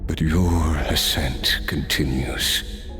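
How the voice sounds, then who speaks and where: Very deep, slow, solemn immortal warrior. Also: male voice, steady tone, fairly bright tone, faint music behind, heavily acted. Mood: resigned. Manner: formal, measured, dramatic delivery. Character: cursed immortal male warrior, weary, solemn, defeated. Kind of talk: video game voice acting.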